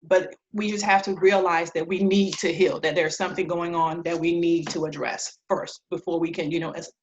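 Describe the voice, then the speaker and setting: A woman in her thirties from Liberia answers interview questions conversationally as a guest, reflective and halting, often pausing between phrases. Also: on the low side, steady tone, highs cut off, a little hiss or hum. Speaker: interview guest, clinical social worker.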